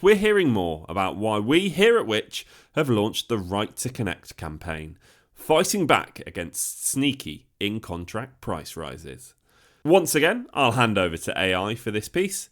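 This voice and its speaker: A man with a British accent hosts a podcast in a highly animated voice, his pitch swinging widely. He delivers scripted introductions and speaks straight to the listener. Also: a little slow. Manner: direct address to listeners. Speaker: podcast host.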